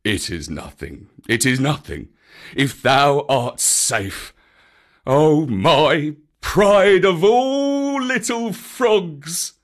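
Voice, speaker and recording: A man reading a storybook aloud, voicing a big old bear who speaks loudly and with feeling. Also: mid-range voice, big pitch swings, slow pace. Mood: tender. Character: big old bear, gruff and tender-hearted.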